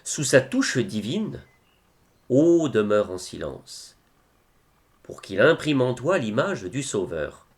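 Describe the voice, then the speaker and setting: Slow, expressive man reciting poetry. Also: mid-range voice. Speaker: reader of devotional poetry.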